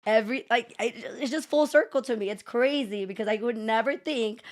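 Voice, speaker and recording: A young woman from El Paso with a fairly high voice chats as a podcast guest. She talks on and on, laughs often and curses freely. She is recorded in a living room. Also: brisk. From El Paso, Texas. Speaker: guest, entrepreneur and former teacher. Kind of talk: casual podcast chat.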